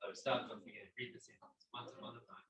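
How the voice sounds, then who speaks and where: Male teacher, coaxing and questioning students, medium pitch, echoey room, distant mic. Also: steady tone, rapid-fire, a bit bright. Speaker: teacher leading a class. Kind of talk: interactive classroom talk. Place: classroom.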